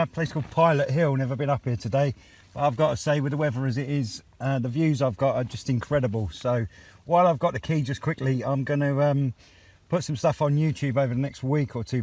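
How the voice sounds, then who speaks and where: A man's voice: mid-range voice, fairly quick, a little mellow, a little hiss or hum. A man, chatting casually outdoors as a vlogger and CB radio hobbyist. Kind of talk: casual vlog chat and radio exchanges. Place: outdoors on a hilltop.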